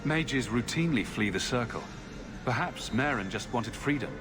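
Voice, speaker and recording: A man's voice: medium pitch, animated, normal speed, echoey room, music in the background, lightly acted. A man playing a confident, direct adventurer hero in a fantasy video game. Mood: concerned. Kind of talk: video game dialogue acting.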